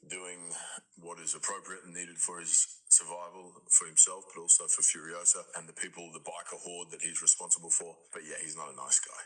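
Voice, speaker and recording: A man's voice: low voice, level tone, a little fast, sharp tone, husky, rough recording. A man in his forties, an actor from Australia, joking and self-mocking in a casual chat. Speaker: actor guest.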